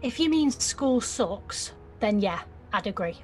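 Actress as slow-talking, mouthy nineteen-year-old girl. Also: medium pitch, lively intonation, a little mellow, faint music behind. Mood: cheeky. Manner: slangy, blunt, petulant. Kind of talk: audio theatre acting.

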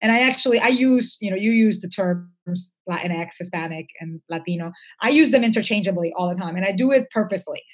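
A woman's voice: medium pitch, brisk, few pauses, slightly rough. A woman speaking as an interview guest, conversational, reflective and earnest, with emphasis on her points.

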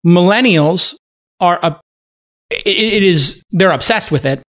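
A man's voice: high voice, lively intonation, unhurried, a bit bright. A man in his late forties hosting a podcast, chatty and enthusiastic in casual interview talk. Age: 40s. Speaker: podcast host.

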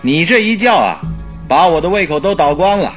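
An actor playing an earnest, inquisitive doctor who is a detective's companion, in a radio drama. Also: male voice, high-pitched, expressive, moderate pace, fairly bright tone, faint music behind. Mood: indignant.

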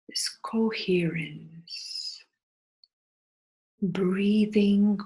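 A woman leading a guided meditation in a soft, soothing, breathy voice that often drops toward a whisper, with long pauses between short phrases. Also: lowish voice, rough recording.

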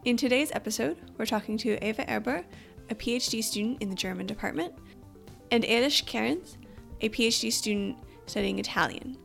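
A woman's voice: fairly high pitch, fairly quick, creaky, a bit bright, soft background music. A woman hosting a podcast, reading her prepared introduction and questions evenly. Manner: reads prepared lines evenly. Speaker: podcast host.

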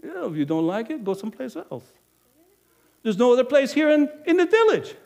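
A man playing a businesslike village innkeeper in an animated first-person monologue, emphatic and speaking straight to the audience. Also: extremely high pitch, brisk, creaky, heavily acted. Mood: self-justifying. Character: businesslike male village innkeeper, proud then guilty.

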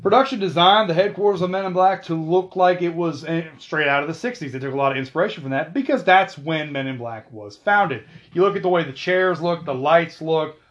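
Male host, chatty trivia commentary, a bit high-pitched, dull lo-fi recording. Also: brisk, hardly any pauses, a bit bright. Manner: chatty, with asides and self-corrections. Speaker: video host. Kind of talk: casual film trivia commentary.

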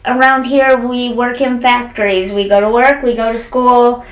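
A woman co-hosting a podcast, speaking in an unhurried, agreeable way. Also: a little high, level tone. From central Wisconsin. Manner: unhurried, agreeable, backs up her co-host. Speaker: podcast co-host. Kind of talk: casual chat. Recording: roomy sound, mic at a distance.

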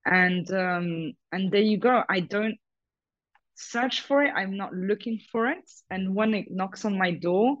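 A woman speaks frankly as a guest in an interview, pressing her points with firm emphasis. Her voice sits at a middle pitch. The recording is dry and a bit dull, with no room sound. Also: measured pace, no echo. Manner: frank and emphatic, repeats "totally" and "hundred percent". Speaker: guest, businesswoman. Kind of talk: casual interview conversation.

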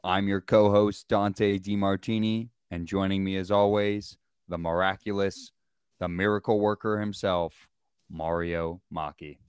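A man's voice: deep voice, flat delivery, measured pace, darkish tone, smooth, no echo, clean studio sound. A man hosting a casual sports podcast, easygoing, with short reactions. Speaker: podcast co-host.

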